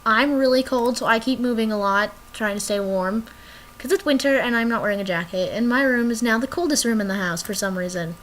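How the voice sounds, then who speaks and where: Bright rambling young woman vlogging. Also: on the high side, fairly quick, a little hiss or hum, poor audio. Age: young adult. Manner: rambling, drifts off topic. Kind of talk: casual chat to camera. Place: her cold bedroom.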